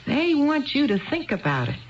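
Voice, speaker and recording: A woman's voice: high-pitched, sing-song, moderate pace, a little hiss or hum. Earnest, rambling woman, dull old recording. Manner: wistful, digressive, repeats herself. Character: earnest, rambling, tipsy married woman confiding in a bartender. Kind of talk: 1970s radio drama acting. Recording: dull lo-fi 1970s radio broadcast.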